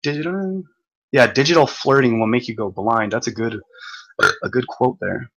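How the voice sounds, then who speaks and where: A man hosting a livestream, ranting in a profane, stumbling, repetitive way while reading comments, his voice going hoarse. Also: medium pitch, normal speed, a bit bright, dull audio. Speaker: livestream host. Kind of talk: rambling livestream rant.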